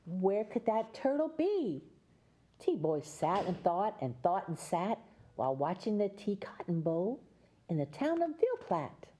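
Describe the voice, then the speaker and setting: A woman reading a picture book aloud as a Mother Goose storyteller, pausing for chatty explanations to young listeners. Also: medium pitch, very animated, warm tone, slight background noise. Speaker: children's storyteller and host.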